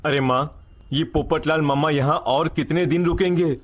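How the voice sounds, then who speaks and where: A man's voice: a little high, moderate pace, close to an everyday voice. Male actor as young family man, patient, reassuring, matter-of-fact, cartoon dialogue. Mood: complaining.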